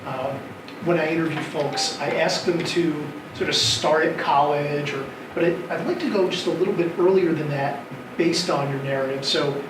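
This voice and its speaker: Give me a man interviewing a guest, slightly higher than average, who sounds excited but keeps stumbling over his words. He is recorded from across the room, with a hollow, echoey sound. Steady noise runs behind him. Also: normal speed. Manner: enthusiastic, hesitant restarts. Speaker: interviewer, event host. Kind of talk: live interview. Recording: distant, echoey, noisy room.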